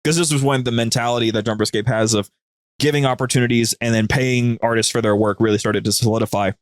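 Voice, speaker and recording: A man's voice: mid-range voice, fairly even intonation, fast talker, no room sound, studio quality. A man chatting casually as a podcast guest, telling stories in an informal, rambling, slangy way. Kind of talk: casual, anecdotal chat.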